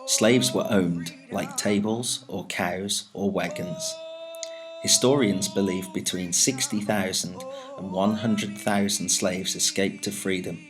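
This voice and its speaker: A man narrating a scripted historical afterword in a measured, explanatory reading, recorded in a school studio. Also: sits low, steady tone, rough recording. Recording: narration over loud music. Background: music playing under the voice.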